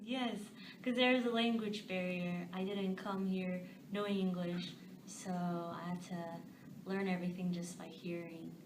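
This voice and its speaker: A woman's voice: lowish voice, fairly even intonation, measured pace. A woman born in Korea answers interview questions in an easygoing way, with some fillers. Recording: echoey distant mic, noisy background.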